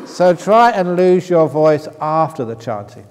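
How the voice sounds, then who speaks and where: Male meditation teacher, higher voice, playful teasing delivery, long hall reverb. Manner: joking, teasing, reads out audience questions. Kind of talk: retreat Q&A talk.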